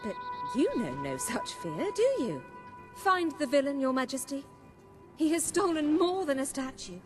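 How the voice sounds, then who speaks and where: High, swooping haughty noblewoman. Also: sing-song, normal speed, music in the background. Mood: gushing indignation. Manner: haughty, gushing, dramatic. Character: haughty, melodramatic wealthy noblewoman, pleading and flattering. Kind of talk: theatrical video game acting.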